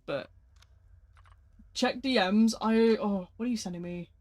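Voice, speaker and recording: Flat-voiced chatty gaming streamer. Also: medium pitch, measured pace. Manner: flat, unsung speaking voice; chatty, answers viewer messages. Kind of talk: casual live-stream chat while gaming.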